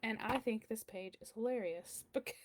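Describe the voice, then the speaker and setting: A woman's voice: fairly high pitch, moderate pace, a little hiss or hum. A woman hosting a read-aloud, chatting casually and speaking directly to her viewers. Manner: chatty, addresses listeners directly with questions.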